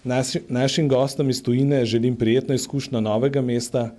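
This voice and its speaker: A man giving a formal, prepared welcome address at a public debate, measured and official. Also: mid-range voice, level tone, dark tone.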